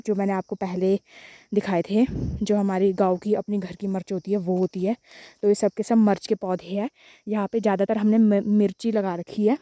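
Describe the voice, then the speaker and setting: A woman's voice: mid-range voice, warm tone, dry recording, muffled recording. A young woman narrating a casual village vlog, explaining things to viewers and repeating herself. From Uttarakhand, India. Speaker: village vlogger.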